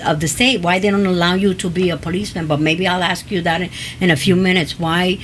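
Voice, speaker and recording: A woman's voice: on the low side, steady tone, normal speed, fairly bright tone, a little hiss or hum. Bilingual woman hosting an interview. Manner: switches between Spanish and English, asks questions with fillers. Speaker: talk show host.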